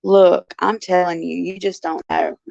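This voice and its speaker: A woman with a low, heavily gravelly and raspy voice chats folksily with her viewers. She calls them by name as she talks. The recording is dull, lo-fi and dry. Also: flat delivery, unhurried, a little mellow, dry recording. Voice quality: raspy, gruff. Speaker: show host. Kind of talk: casual chat, answering viewers. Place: indoors in a care facility.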